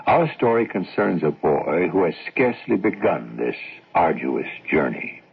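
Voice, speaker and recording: An actor as a grave, ominous storyteller, narrating a radio mystery in a solemn, deliberate manner. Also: male voice, sits low, sing-song, creaky, exaggerated voice. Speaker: host and narrator. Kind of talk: scripted radio drama narration. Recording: noisy, tinny old radio broadcast.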